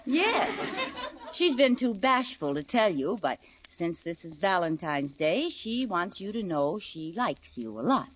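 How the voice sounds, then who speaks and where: An actress playing a wry, easily flustered housewife in an old radio sitcom, with exclamatory comic timing, on an old recording. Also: female voice, medium pitch, measured pace. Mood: friendly, coy. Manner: animated, exclamatory comic delivery. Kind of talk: 1940s-50s radio sitcom acting. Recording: muffled noisy old radio recording, studio audience.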